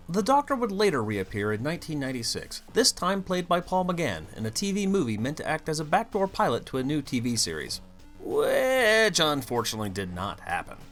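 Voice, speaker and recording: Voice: mid-range voice, a bit bright. A narrator hosting a scripted fan video essay, explaining things emphatically and theatrically, with wry asides.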